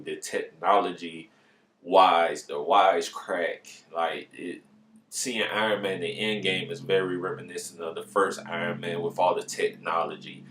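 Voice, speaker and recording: A man's voice: deep voice, fairly bright tone. A man giving a casual, slangy movie review, swearing freely as he talks. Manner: profane, slangy and informal. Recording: roomy, echoey sound.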